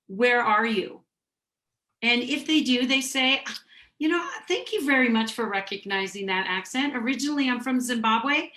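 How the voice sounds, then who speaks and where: A middle-aged woman, a dental consultant and interview guest, explaining her service in a friendly, brisk sales-pitch way. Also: bright, forceful tone, roomy sound. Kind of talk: casual interview chat.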